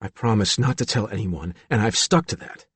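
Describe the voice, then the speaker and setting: A man's voice: lowish voice, animated, fairly quick, fairly bright tone, slightly rough, no room sound, full character voice. A man reading an audiobook, voicing a woman psychologist who reasons with an earnest, persuasive manner.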